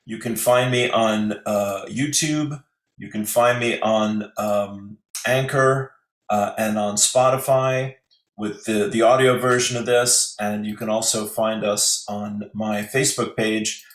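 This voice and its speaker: A man's voice: on the low side, steady tone, slow, fairly bright tone. A man hosting an online spiritual interview show, speaking casually over a video call and affirming his guest often. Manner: friendly and affirming, frequent "yeah" and "beautiful". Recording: roomy video call audio.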